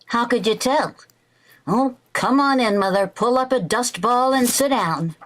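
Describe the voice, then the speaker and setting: An actress playing a sarcastic housewife who makes dry wisecracks, in vintage radio sitcom style. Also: female voice, a little high, unhurried, lightly acted, poor audio. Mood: dry, resentful. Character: sarcastic young housewife bristling at her mother-in-law.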